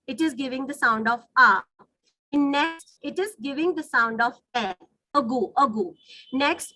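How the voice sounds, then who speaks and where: A woman's voice: high voice, sharp tone, dry recording, poor audio. A woman with an Indian accent, a native Hindi speaker, teaching an online lesson in a didactic way, rephrasing points and checking in with her listeners. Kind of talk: online lesson, explaining to students. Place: online video call.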